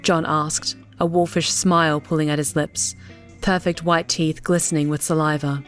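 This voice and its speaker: A woman's voice: sits low, flat delivery, normal speed. A woman reading scripted story narration as an audiobook narrator. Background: soft music.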